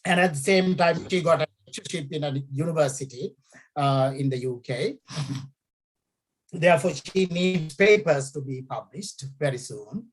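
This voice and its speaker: A woman's voice: low-pitched, normal speed, rough recording. A middle-aged woman from Sri Lanka, an academic talking through her research in a hesitant way, with many fillers and repeated key phrases. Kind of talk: conversational interview.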